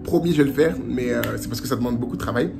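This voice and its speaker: A man's voice: mid-range voice, rapid-fire, gravelly. Chatty young Frenchman with music underneath. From France. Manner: chatty and animated, slips into Portuguese phrases. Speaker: vlogger. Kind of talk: casual advice vlog.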